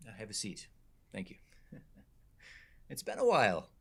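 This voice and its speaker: A man's voice: fairly low pitch, huge pitch swings, moderate pace, creaky, a bit bright, husky, full character voice. An actor playing a nervous male bank clerk, the woman's ex, awkward and laughing nervously in a radio drama.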